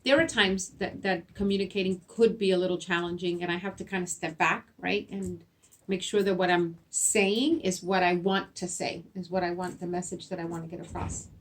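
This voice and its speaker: A woman's voice: on the low side, steady tone, moderate pace. A middle-aged woman whose first language is Spanish, a school principal answering interview questions earnestly, often adding "right?" and repeating words as she thinks. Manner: earnest, repeats herself, frequent "right?" tags.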